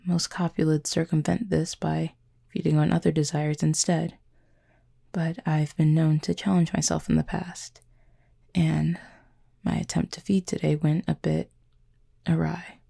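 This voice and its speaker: A woman playing a gentle, formal, wounded cupid in an intimate audio roleplay, speaking softly in measured, breathy phrases. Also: low voice, flat delivery, full character voice. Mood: calm, explanatory. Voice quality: husky, breathy, creaky. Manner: measured, formal, soft-spoken with breathy pauses.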